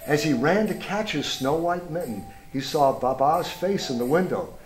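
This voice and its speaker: A man reads a children's picture book aloud in an expressive storytime style, pausing to point things out and ask young listeners questions. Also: a little high, slight background noise, low-quality recording. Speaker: storybook reader, school superintendent.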